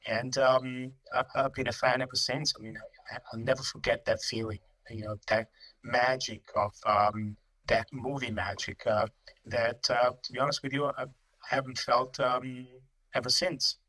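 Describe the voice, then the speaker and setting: A man in his fifties with an Italian accent, answering interview questions in a halting way, full of ums and restarts. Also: mid-range voice, flat delivery, pushed and bright, rough recording. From Italy. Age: 50s. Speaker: company managing director, guest.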